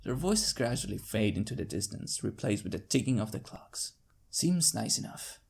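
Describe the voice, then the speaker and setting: A man with a creaky voice narrating a first-person story in an expressive, theatrical way. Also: lowish voice, a little fast. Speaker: fan fiction narrator.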